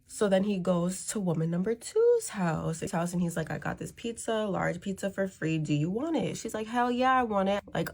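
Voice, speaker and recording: A woman's voice: lowish voice, darkish tone. A woman content creator giving casual storytime advice to camera in slangy, conversational speech, acting out bits of dialogue. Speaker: social media creator.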